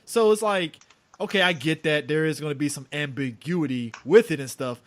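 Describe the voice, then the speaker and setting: A man's voice: a little high, normal speed. A man co-hosting a podcast in casual movie-review banter, rambling and leaning on fillers like "and stuff.". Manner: rambling, leans on fillers such as "and stuff" and "yeah, yeah". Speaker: podcast co-host.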